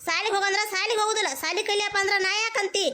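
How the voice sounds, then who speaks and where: A woman's voice: very high voice, fairly even intonation, rapid-fire, pushed and bright. A woman voicing a scolding, doting village mother in a comedy cartoon, chatty and repetitive, with a North Karnataka Kannada accent. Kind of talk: rustic comedy cartoon dialogue.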